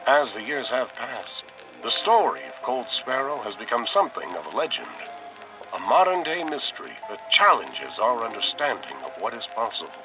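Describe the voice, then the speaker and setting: Portentous, animated mystery show presenter. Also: male voice, mid-range voice, moderate pace, recorded from a distance, rough recording. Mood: grave, portentous. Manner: portentous, formal. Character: dramatic male presenter of a mystery documentary show. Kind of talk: scripted true-mystery broadcast narration. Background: music.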